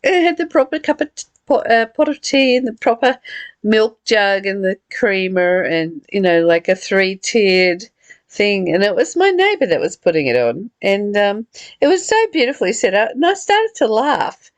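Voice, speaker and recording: A woman hosting a spiritual study, reading aloud and reflecting casually in a halting, deliberate way. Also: medium pitch, very animated, dry recording. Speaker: host of a spiritual book study.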